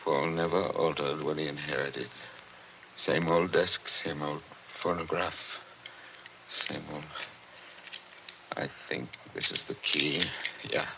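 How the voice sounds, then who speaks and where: An actor playing a lonely attorney haunted by an old death, speaking in a measured, wistful, formal way in a 1940s radio drama. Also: male voice, a bass voice, steady tone, heavily acted. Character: lonely older attorney haunted by the past, genteel. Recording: muffled old radio broadcast with faint hiss.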